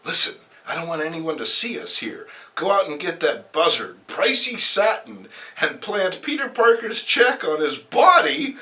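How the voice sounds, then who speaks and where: A man's voice: a little high, sing-song, a little slow, few pauses, sharp tone, voice pushed up, exaggerated voice. A man voicing a ruthless, gloating female criminal mastermind in a comic book reading, bossy and scornful. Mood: bossy conspiring.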